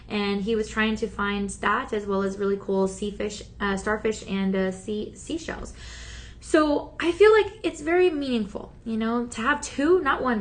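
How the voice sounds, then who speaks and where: Chatty raspy woman selling on TV. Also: fairly high pitch, slight background noise. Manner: chatty, upbeat and encouraging, many fillers. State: voice still healing from overuse. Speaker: shopping channel host. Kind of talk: live TV sales pitch. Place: TV studio.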